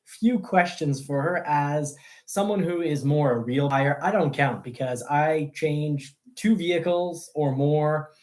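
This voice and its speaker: A man's voice: fairly high pitch, a little mellow. Rambling man. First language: French. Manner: rambling, halting delivery. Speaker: host of a car news show. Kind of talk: casual livestream chat.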